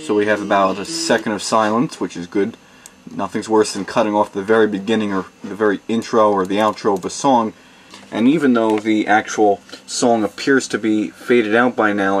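Man calmly explaining a home tutorial. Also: fairly low pitch. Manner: plain, methodical explaining. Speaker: tutorial host. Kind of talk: step-by-step how-to demonstration. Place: home desk with computer and mixer.

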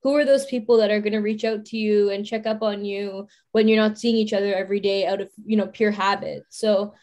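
A woman's voice: medium pitch, fairly even intonation, a little fast, a little mellow. A university student in her twenties speaking on a video call panel, thoughtful and circling back with frequent "you know" and restarts. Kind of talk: casual panel discussion.

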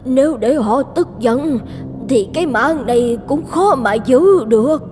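A woman voicing a kindly, anxious old village midwife in an animated fairy tale, with an earnest, drawn-out delivery. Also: sits high, faint music behind. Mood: bewildered, worried. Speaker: voice actor.

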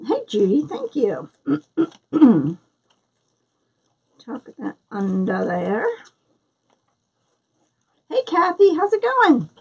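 A woman's voice: high voice, huge pitch swings, drawn-out words, vocal fry, pauses often, a little mellow, highs cut off. A woman with a Philadelphia accent hosting a live craft tutorial, chatting and greeting viewers by name as she works. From Philadelphia area, US. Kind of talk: live-stream craft demonstration.

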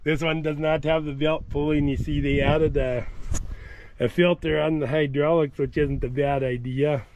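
A man with a slightly high, mellow voice talks his way around an outdoor yard, plain-spoken and thinking aloud as he restarts his sentences. He is recorded on a handheld camera, with faint noise in the background. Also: little pitch movement, moderate pace, rough recording. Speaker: tractor vlogger touring an auction. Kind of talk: casual walkaround commentary. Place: outdoors at a farm auction yard. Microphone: camera carried at hand, wide angle.

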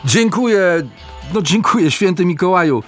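A polite male radio host talks in a hesitant, conversational way in a radio play. His voice sits a little high, with faint music behind. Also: animated, moderate pace. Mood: amazed, grateful. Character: polite, amazed radio call-in show host. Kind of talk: radio play as live phone-in.

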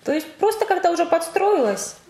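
A woman's voice: extremely high pitch, lively intonation, a little fast. Chatty laughing woman from Kazakhstan. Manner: chatty, laughs often while telling stories. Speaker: interview guest. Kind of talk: casual interview chat. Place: home room at a round table. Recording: roomy sound with loud hiss.

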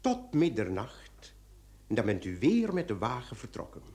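A hot-tempered police commissioner in an old-style radio drama. He blusters and drips sarcasm, and his pitch swings widely as he speaks. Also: huge pitch swings, normal speed, a little mellow. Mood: puzzled. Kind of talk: Dutch radio play acting.

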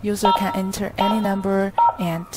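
A woman reading a scripted instructional product tutorial in a flat, even delivery. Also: medium pitch, normal speed, over loud music.

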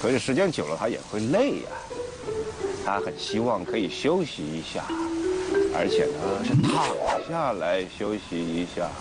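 An actor playing a gentle father telling a simple tale in a film drama. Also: lowish voice, sing-song, normal speed, rough recording. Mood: gentle, wistful. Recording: film clip under loud music.

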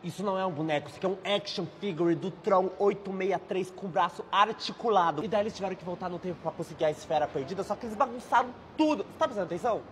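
A man's voice: high-pitched, rapid-fire, few pauses, a little hiss or hum, close to an everyday voice. A man playing an over-eager superhero nerd. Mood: lecturing. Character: excitable young male superhero nerd.

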